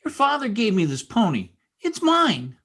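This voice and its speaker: A woman acting as a young boy in a radio play, high-pitched and wildly animated in his intonation. He is indignant and defensive. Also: normal speed, soft background music. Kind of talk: old-time radio drama acting.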